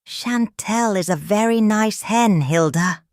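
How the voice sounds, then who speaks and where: A woman voicing a kind, caring sister hen in a children's storybook, gentle and earnest. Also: medium pitch, slow pace, no echo, studio quality, voice pushed up, close to an everyday voice. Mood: gently reassuring. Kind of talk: storybook character reading.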